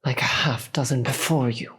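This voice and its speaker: A performer voicing a grave, weary demon queen in a dramatic audio roleplay, with a breathy, husky voice and slow, deliberate delivery. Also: mid-range voice, a bit bright. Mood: stern, commanding. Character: weary, commanding demon queen, secretly soft-hearted.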